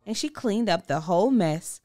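A woman reading a children's book aloud with expressive, deliberate storytelling. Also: medium pitch, dry recording, faint music behind. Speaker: storybook reader. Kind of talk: children's read-aloud narration.

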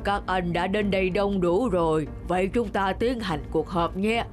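A woman voicing a talking forest animal in an animated fairy tale, explaining things in a measured storytelling way. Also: medium pitch, natural voice. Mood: authoritative. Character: talking forest animals sharing secrets at a meeting. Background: music.

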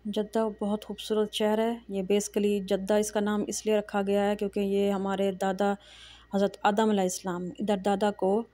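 Soft-spoken middle-aged woman vlogging. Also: medium pitch, moderate pace, no room sound. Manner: calm, soft-spoken, frequent hesitations and pious phrases. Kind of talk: casual vlog narration. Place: large indoor shopping mall. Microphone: phone camera held while walking.

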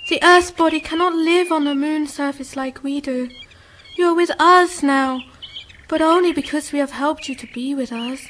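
An actress playing a serene, alluring moon-dwelling woman in a radio drama, speaking with measured, solemn assurance. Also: high-pitched, a bit bright, slight background noise. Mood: gently insistent.

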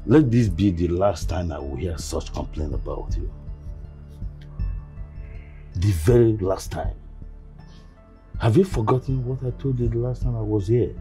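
Older chief in a movie, deep mellow voice, stern fatherly telling-off, faint music. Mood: stern, admonishing. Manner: admonishing, measured, fatherly. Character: stern, paternal older family elder, a respected chief. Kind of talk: film drama acting.

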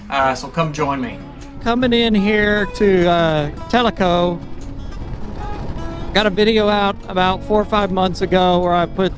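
A man with a fairly high voice talks loosely while he rides a motorcycle, thinking aloud and chuckling at his own jokes. Music plays clearly behind him. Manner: rambling, self-amused, thinking aloud. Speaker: motorcycle vlogger. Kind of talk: casual ride commentary. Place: on a motorcycle on mountain roads.

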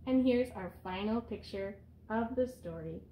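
A woman's voice: medium pitch, unhurried, mellow, slight background noise, low-quality recording. A woman in her thirties reading a picture book aloud as a teacher, explaining simply and putting questions to young children. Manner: instructive, simple phrasing aimed at young children. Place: small room indoors.

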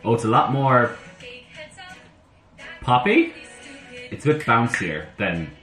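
A slightly hoarse young man chatting excitably to camera in his bedroom, talking to himself as he reacts to music. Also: medium pitch, takes it slowly. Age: young adult. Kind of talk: casual reaction chat. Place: bedroom at home, indoors. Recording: roomy bedroom with faint music. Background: soundtrack music playing faintly.

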